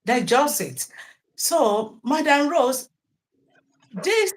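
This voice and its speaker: A woman's voice: high voice, sing-song, extremely slow. A middle-aged Nigerian woman, a native Yoruba speaker, talking at length as a teacher being interviewed, often repeating words and restarting. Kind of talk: interview answers.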